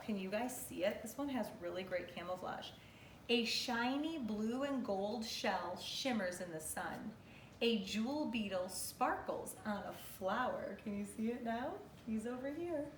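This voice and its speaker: Slow-paced woman reading aloud in a roomy space. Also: on the high side. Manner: expressive, instructive, asks and answers questions. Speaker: kindergarten teacher. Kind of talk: read-aloud to young children with questions. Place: living room at home, indoors. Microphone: camera or phone a few metres away. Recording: roomy, distant mic, faint noise.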